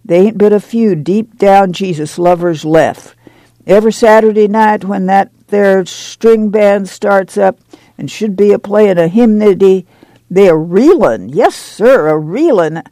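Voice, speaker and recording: A fierce, pious woman from a storybook, acted out by a man. The voice is high for a male reader and gravelly, yet mellow. It bears down on words like a backwoods preacher spreading scandal and warning of sin. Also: no room sound. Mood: dark, scandalized. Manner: emphatic, foreboding preaching. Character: stocky fierce, fanatically pious country woman, scandal-mongering.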